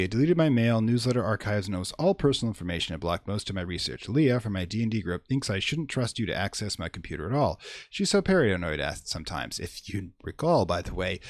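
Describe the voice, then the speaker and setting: A man's voice: on the low side, dry recording, clean studio sound. A man hosting a gaming video, chatting casually and rambling as he thinks aloud during his let's play commentary.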